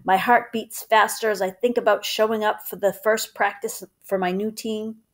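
A woman's voice: mid-range voice, fairly even intonation, moderate pace, a bit bright. A woman reads a children's book aloud in a conversational way, as a teacher would. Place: small room at home.

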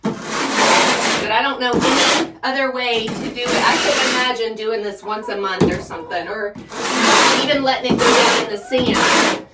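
A woman's voice: fairly high pitch, normal speed. A middle-aged woman chatting casually and folksily to viewers while doing chores, rambling as she works. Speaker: homestead vlogger and host. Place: small wooden chicken coop. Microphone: phone set up a few feet away. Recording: roomy, distant mic with clear background noise.

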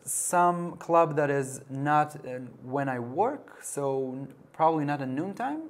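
A man's voice: a little high, animated. Hesitant young man in a TV studio. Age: young adult. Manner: hesitant, with restarts and pauses. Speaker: interview guest. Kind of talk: casual interview answers. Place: small TV studio set.

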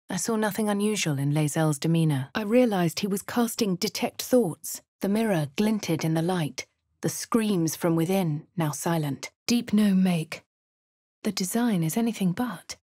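A woman voicing a refined, posh young adventurer with a British accent, speaking crisp, clipped game lines and narration. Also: on the low side, expressive, unhurried, a little mellow, no echo, studio quality. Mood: composed. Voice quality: crisp, well-spoken. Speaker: player character.

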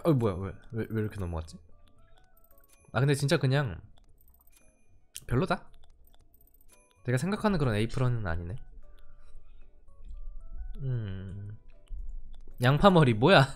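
A man's voice: mid-range voice, sing-song, a little slow, long pauses, faint music behind. Playful young man streaming a game. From standard-Korean-speaking region of Korea. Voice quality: playful, reactive, chatty. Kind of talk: casual game commentary.

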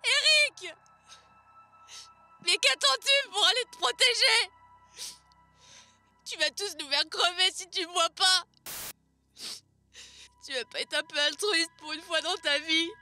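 A woman playing a bossy, hysterical villager, shrill and scolding, in a satirical tale. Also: takes it slowly, pauses often, sharp tone, soft background music, full character voice. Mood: angry pleading. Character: hysterical, bossy, foul-mouthed villager screaming at someone.

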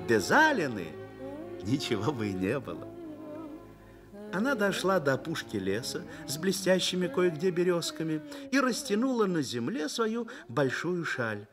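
A man narrating a literary radio drama in an expressive, lyrical storytelling manner. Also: on the high side, moderate pace, music in the background.

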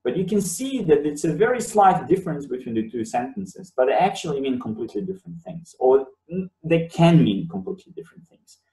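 Man, 30s, Bosnian native, webinar lecturer, emphatic explaining, mellow tone, distant webcam mic. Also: mid-range voice, sing-song, a little fast, hardly any pauses. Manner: explanatory, repeats words for emphasis. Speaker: university lecturer. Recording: roomy sound, mic at a distance.

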